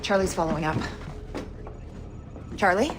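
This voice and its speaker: An actress playing a composed intelligence officer in a video game, speaking in a businesslike, matter-of-fact way. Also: female voice, fairly low pitch, expressive, measured pace, noisy background. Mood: brisk, composed. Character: brisk, composed female intelligence operations officer. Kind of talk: video game cutscene acting.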